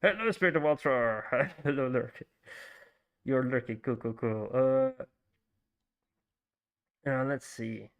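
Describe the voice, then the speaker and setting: A man's voice: mid-range voice, slow pace, long pauses, lo-fi sound. Male streamer, Frisian Dutch accent, laid-back game commentary, chatty with viewers, lots of restarts. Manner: chatty, thinks aloud, greets chat, many fillers and restarts. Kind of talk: casual livestream commentary while playing.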